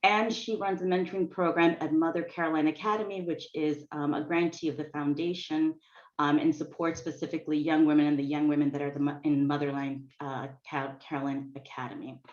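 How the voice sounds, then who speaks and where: A woman's voice: low-pitched, fairly even intonation, brisk, darkish tone. A woman from Boston moderating a webinar, introducing panelists and asking questions in an informal, encouraging way. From Boston, United States. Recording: muffled, echoey webinar audio.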